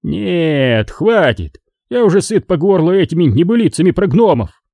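A man's voice: high voice, very animated, normal speed, no echo, dull audio, voice pushed up. Man playing a stern, exasperated storybook father. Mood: scolding. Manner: scolding, commanding.

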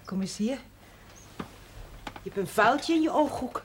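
A candid, teasing confidante in a television drama. Her understated, wry talk moves freely up and down in pitch, over faint noise from an old soundtrack. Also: animated, a little slow. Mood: teasing, intimate. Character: candid, gently teasing woman listening to a poet friend. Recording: slightly noisy 1970s television soundtrack.

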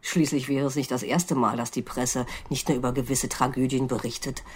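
An actress playing a probing, sceptical examining magistrate in a naturalistic radio crime drama, precise and insistent, with a low, husky voice. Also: female voice, steady tone, a little fast, darkish tone, a little hiss or hum. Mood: pointed, insistent. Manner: precise, insistent, coolly interrogating.

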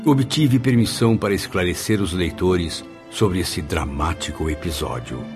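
An actor playing a grave, earnest spirit memoirist who narrates a radio drama formally and dramatically. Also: male voice, low voice, normal speed, music in the background. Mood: earnest recounting. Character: male spirit author recalling his memoirs, grave and earnest.

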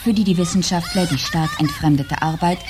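An actress playing a sober, matter-of-fact consultant reading out a formal report in a radio drama. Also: female voice, lowish voice, a little fast, noisy background, lightly acted. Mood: sober, factual. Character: sober female consultant presenting a scientific report.

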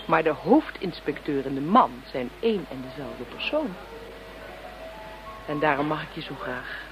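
A woman's voice: deep voice, animated, normal speed. An actress playing a direct, confident female police inspector in a Dutch radio drama. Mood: teasing. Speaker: voice actor. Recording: muffled, noisy old radio drama recording.

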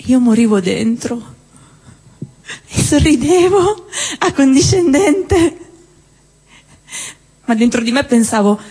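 Stage actress, high and animated, teasing, with a long hall reverb. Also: female voice, slow, pauses often, slightly rough. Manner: chatty, teasing, bursts into excited shrieks. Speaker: stage actor. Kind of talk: live theatre dialogue. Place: theatre stage.

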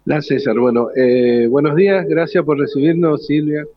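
A man's voice: a little high, steady tone, moderate pace. A man hosting an unscripted live radio interview, hesitantly searching for his words. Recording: dull lo-fi live radio recording.

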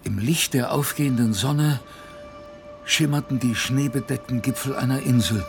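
An actor playing a young expedition sailor, narrating a polar ordeal gravely and reflectively in a radio play. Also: male voice, medium pitch, moderate pace, music in the background, close to an everyday voice.

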